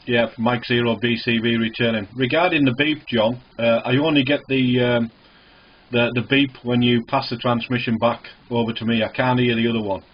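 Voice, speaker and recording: A man with a husky, mid-pitched voice talks casually over ham radio. He is matter-of-fact, and the audio is narrow and tinny like a phone line. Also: steady tone, moderate pace. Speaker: amateur radio operator.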